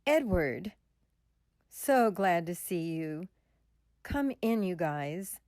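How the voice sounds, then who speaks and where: A woman's voice: on the low side, big pitch swings, very slow, a little mellow, no echo, heavily acted. A woman playing a lonely, hurt spinster. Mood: welcoming. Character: lonely single middle-aged woman, hurt, self-doubting. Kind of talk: radio drama acting.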